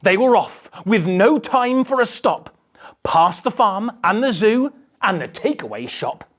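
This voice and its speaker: A woman's voice: medium pitch, very animated, unhurried. A woman reading a children's picture book aloud with theatrical, dramatic emphasis. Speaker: storybook reader.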